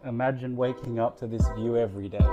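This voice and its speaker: A man's voice: medium pitch, steady tone, fast talker, over loud music. A man giving upbeat, scripted narration. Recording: slightly dull lo-fi sound.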